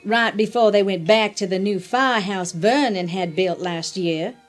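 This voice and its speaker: A woman's voice: medium pitch, moderate pace, faint music behind, lightly acted. A woman playing a defiant, determined character in an audio drama, blunt and confrontational. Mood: determined anger.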